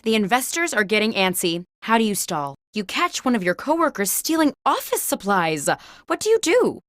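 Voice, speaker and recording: A woman playing a chipper corporate office interviewer who reads scripted party game questions in a crisp, expressive way. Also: a little high, no echo, studio quality. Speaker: game host.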